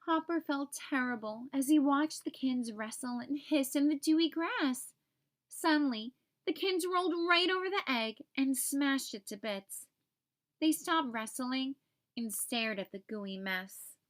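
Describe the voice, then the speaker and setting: A woman reading a children's picture book aloud as an expressive storyteller for young listeners. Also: high-pitched, a little slow.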